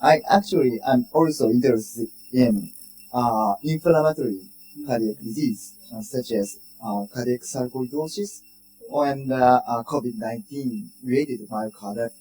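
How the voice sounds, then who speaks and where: A cardiologist, introducing himself hesitantly with many fillers. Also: male voice, mid-range voice, measured pace, mellow, husky, faint music behind, poor audio. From Japan. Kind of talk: conference self-introduction.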